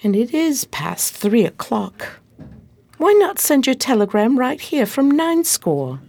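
A woman playing a loyal, long-suffering detective's assistant, narrating and acting in a slightly prim, expressive radio play style. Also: fairly high pitch, a little slow, gravelly, exaggerated voice.

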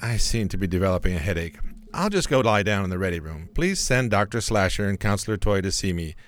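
A man's voice: low voice, expressive, fast talker, dry recording. A man with an English accent playing a pompous, weary starship captain in a parody radio play. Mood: weary, indignant.

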